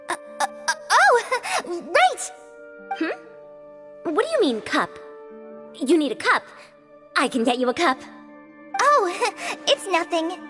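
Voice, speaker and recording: A woman voicing spirited, expressive female characters in a fantasy adventure video game. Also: extremely high pitch, exaggerated voice. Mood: flustered. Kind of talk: animated video game voice acting. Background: background music.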